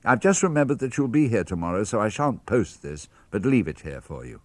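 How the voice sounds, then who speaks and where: Low, lively man reading letters. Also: no room sound. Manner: measured, expressive, crisp phrasing. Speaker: reader of letters. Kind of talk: dramatic reading of letters for radio.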